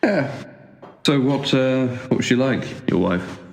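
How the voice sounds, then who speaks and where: A man's voice: mid-range voice, animated, measured pace. An actor playing a cheeky, slightly awkward young British man with a hesitant, informal way of talking in an audio drama. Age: young adult. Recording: echoey room, faint noise.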